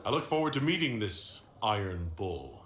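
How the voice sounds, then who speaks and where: Deep, measured male game hero. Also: sing-song, a bit bright, reverberant, slight background noise. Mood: composed. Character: calm, curious male hero leading an order. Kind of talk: video game character acting.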